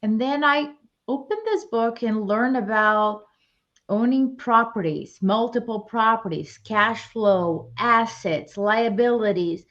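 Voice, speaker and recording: A woman's voice: fairly high pitch, slow, a bit bright, muffled recording. A woman from Brazil, earnestly telling her story as an interview guest.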